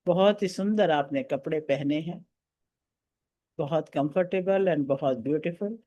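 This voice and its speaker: Slow-talking man guiding a meditation. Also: on the high side, warm tone, muffled recording. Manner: soothing, deliberate, counting out breaths and steps. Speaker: guided meditation teacher. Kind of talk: guided meditation instruction.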